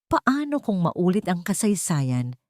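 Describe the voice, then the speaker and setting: A calculating woman therapist, speaking with carefully tuned professional concern, as a woman narrator reads her lines. Her voice sits low and close, with a dry sound. She insinuates rather than accuses. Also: big pitch swings, a little slow, darkish tone, no echo. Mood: feigned concern.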